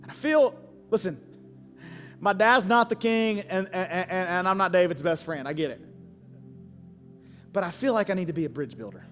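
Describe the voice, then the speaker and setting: A man's voice: high-pitched, lively intonation, moderate pace, pauses often, a bit bright. A man in his forties preaching a sermon as a pastor, speaking emphatically and candidly and repeating phrases for effect. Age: 40s. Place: large church auditorium. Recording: long hall reverb, music underneath. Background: music.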